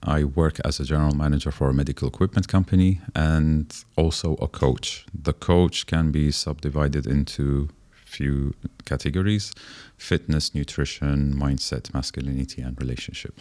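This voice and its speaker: A man in his forties with an extremely low voice gives measured answers as a podcast guest. He talks in short, listed phrases with small pauses between them. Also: fairly even intonation, a little mellow. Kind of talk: conversational interview answers.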